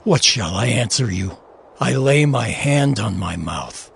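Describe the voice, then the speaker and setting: A man plays a humbled man bowing meekly before God in a scripture drama. The voice is rough with heavy creak and husky breath. The delivery is slow, halting and subdued. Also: medium pitch, animated, exaggerated voice. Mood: humbled, submissive. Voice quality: gravelly, husky.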